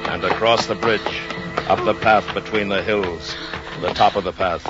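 A male actor playing a grave narrator of a horror tale in 1940s radio drama style, dramatic and deliberate. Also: low-pitched, steady tone, loud music behind the voice, lightly acted. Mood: ominous. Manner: dramatic, deliberate, urgent repetition. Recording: muffled old radio recording.

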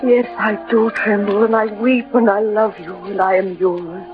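A woman's voice: mid-range voice, steady tone, moderate pace, music in the background, natural voice. An actress playing a romantic young lady swept away by love, in old radio drama style. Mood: enraptured. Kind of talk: 1940s-50s radio drama acting. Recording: dull old radio recording.

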